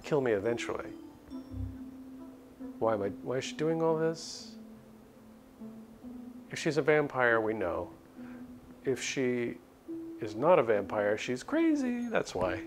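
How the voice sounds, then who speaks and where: A man's voice: mid-range voice, very animated, slow, pauses often, over music. A man streaming a visual novel, reading the story aloud dramatically and adding casual commentary.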